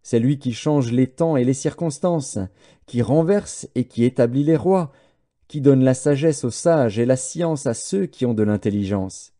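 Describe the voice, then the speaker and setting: Audiobook scripture: male narrator as prudent young believer, reverent, dark timbre, animated melody. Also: mid-range voice, a little slow, natural voice. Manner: reverent, solemn. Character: devout, prudent young Hebrew man praising God. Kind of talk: dramatised scripture reading.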